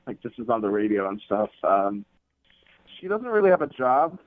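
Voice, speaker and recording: A man's voice: mid-range voice, big pitch swings, normal speed, no room sound. A man speaks over a narrow, dull telephone line with no room sound. He talks casually, awkward and hesitant, with a sardonic edge. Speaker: phone-in guest. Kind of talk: casual conversation. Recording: dull, band-limited phone line.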